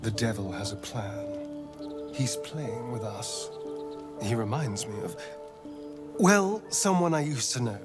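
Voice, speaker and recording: An actor playing a sly, sardonic vampire rogue in a video game, speaking in an arch, theatrical drawl. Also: male voice, medium pitch, animated, takes it slowly, long pauses. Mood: uneasy, brooding. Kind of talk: dramatic game character acting. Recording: music behind the voice.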